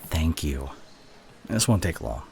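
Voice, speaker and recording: A man playing an exasperated, teasing college student in an ASMR roleplay, with a halting, sighing, sarcastic delivery. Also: rumbling low, big pitch swings, exaggerated voice. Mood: flustered, placating. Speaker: audio roleplay voice actor. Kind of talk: ASMR roleplay acting, one side of a conversation. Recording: clear background ambience noise.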